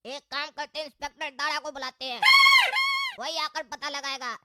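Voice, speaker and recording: Woman doing a quiet muttering schemer. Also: high-pitched, little pitch movement, normal speed, close to an everyday voice. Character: muttering scheming cartoon man. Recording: noisy recording with clicks.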